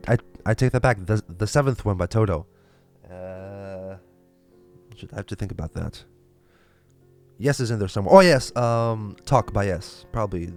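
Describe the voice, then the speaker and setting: A man's voice: on the low side, darkish tone, smooth. A young man from Lithuania with a Lithuanian accent, chatting casually on a livestream and answering viewer questions, with many fillers and restarts. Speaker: livestream host.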